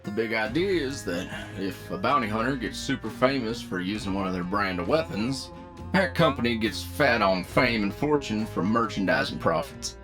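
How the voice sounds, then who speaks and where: A man's voice: lowish voice, lively intonation, normal speed, lightly acted. A man playing a wry, talkative bounty hunter team leader in an anime-style audio roleplay, with a casual, folksy manner. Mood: explaining. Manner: casual, folksy, hesitant asides. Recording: music behind the voice.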